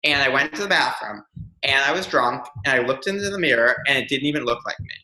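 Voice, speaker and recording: A man's voice: mid-range voice, expressive, fast talker, bright, forceful tone, roomy sound, low-quality recording. A young man speaking as an interview guest, telling a personal story in an earnest, reflective way with frequent ums.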